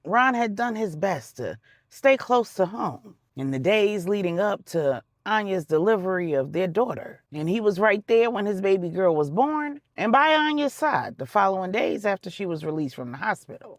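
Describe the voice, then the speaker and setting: A woman's voice: medium pitch, no room sound, clean studio sound. A woman narrating a scripted drama story for adults in a dramatic, colloquial storytelling manner with slangy touches.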